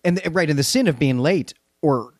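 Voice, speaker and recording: A man's voice: on the high side, animated, moderate pace, darkish tone, no room sound, studio quality. A man co-hosting a podcast, recapping a story conversationally with wry, sardonic asides. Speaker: podcast co-host.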